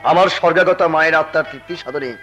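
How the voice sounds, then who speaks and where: An actor playing a noble, resolute bridegroom and stern elder brother, earnest and firm in stage melodrama. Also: male voice, a little high, rapid-fire, a bit bright, soft background music, lightly acted. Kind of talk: melodramatic Bengali folk theatre acting.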